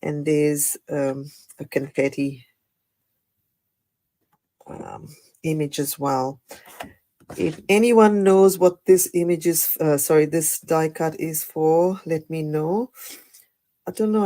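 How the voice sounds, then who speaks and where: A woman with a croaky voice after the flu, chatting through a live card-making tutorial with many fillers and apologies. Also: on the low side, fairly even intonation, takes it slowly, long pauses, mellow. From Australia. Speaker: craft demonstrator.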